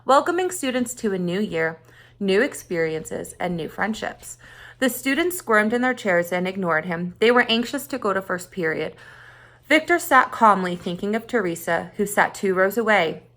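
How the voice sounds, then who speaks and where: A woman reads a story aloud in the manner of a schoolteacher. Her voice sits at an everyday middle pitch, and she gives key words expressive emphasis as she narrates for young listeners. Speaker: teacher reading a story aloud. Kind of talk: read-aloud narration of a children's short story.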